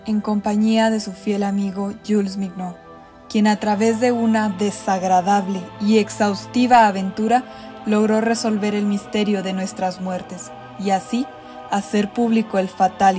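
Murder victim's spirit, solemn, dark-toned, played by a woman. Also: mid-range voice, lightly acted. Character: ghostly female murder victim narrating. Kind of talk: scripted radio drama narration.

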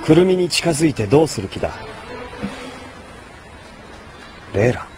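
A man's voice: on the high side, big pitch swings, rapid-fire, music in the background. A man playing a commanding young mafia boss in a Japanese audio drama, speaking in curt, blunt phrases. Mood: threatening. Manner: curt, blunt masculine speech. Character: commanding young male mafia boss, protective yet dangerous.

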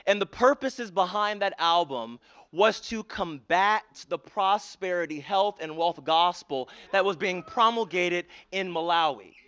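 A man's voice: high-pitched, animated, a little slow, clear, resonant tone. A young man emceeing a concert in a large auditorium, speaking earnestly on a handheld mic. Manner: earnest, builds to rousing shouts, hushed prayer. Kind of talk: emcee introductions and public prayer.

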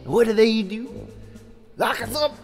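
A man playing a brash, boastful young cadet marksman with a gravelly voice in a radio drama. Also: high voice, measured pace, soft background music.

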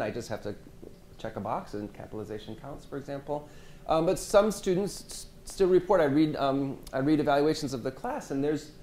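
A man, a French instructor, talking a workshop audience through his teaching in a conversational way, letting his phrase ends drop off quietly. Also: on the high side. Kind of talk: workshop presentation and discussion. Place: medium-sized seminar room with audience. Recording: echoey room, faint hiss.

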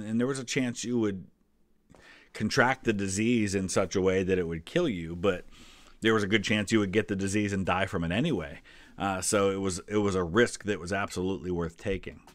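A middle-aged man with an American accent talks in a low register. He explains things casually with chatty asides. The sound is dry and close to the mic. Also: no echo. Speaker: host reacting to a history quiz video.

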